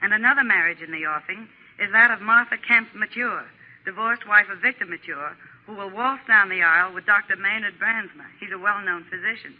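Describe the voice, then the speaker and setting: A woman plays a brisk American lady gossip columnist of 1940s radio, chatty and knowing. The sound is narrow, as from an old broadcast. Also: mid-range voice, natural voice. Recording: band-limited 1940s radio recording.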